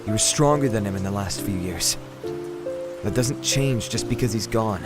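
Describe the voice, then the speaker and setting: An actor playing a blunt, loyal, impatient young brother in an audio drama, consoling someone in a casual, awkward way. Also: male voice, fairly low pitch, moderate pace, natural voice. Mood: gruffly consoling. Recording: loud music and rain behind. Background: music and falling rain.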